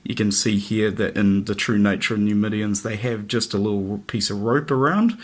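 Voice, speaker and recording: A man's voice: on the low side, normal speed. New man with a head cold. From New Zealand. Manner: chatty and easygoing, many ums and uhs. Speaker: miniatures hobby reviewer. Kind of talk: casual product review.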